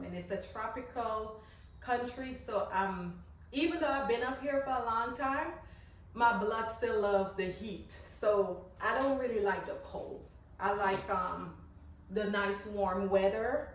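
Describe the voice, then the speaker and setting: A woman's voice: mid-range voice, measured pace. Guyanese woman, casual how-to chat, echoey garage, mic set far away, outdoor noise behind. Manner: chatty, loosely repeats herself while working. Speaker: candle maker. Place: home garage. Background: passing cars and lawn mowing.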